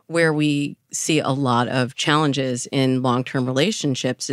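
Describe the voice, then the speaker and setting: A woman's voice: low voice, no room sound, studio quality. A woman speaking as a dating coach and interview guest, explaining her advice conversationally with illustrative examples.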